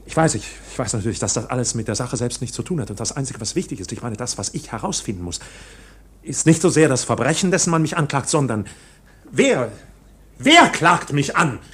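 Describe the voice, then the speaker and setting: Flustered accused man with swinging pitch. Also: expressive, a little fast, fairly bright tone, husky. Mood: agitated, pleading. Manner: formal, hesitant, stammering self-corrections. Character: flustered, self-justifying accused man protesting his innocence. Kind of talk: German radio drama acting.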